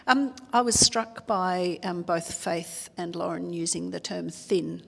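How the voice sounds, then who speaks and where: A woman's voice: lowish voice, fairly even intonation, slow pace, vocal fry, slightly rough. Woman chairing a panel. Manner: polite, organising, with fillers. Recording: long hall reverb.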